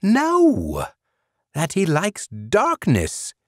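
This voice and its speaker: A man voicing a lovesick male sleep demon, wailing and quavering in a high voice. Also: wildly swooping pitch, very slow, no echo, clean studio sound, exaggerated voice. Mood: pleading. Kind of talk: audiobook character voice.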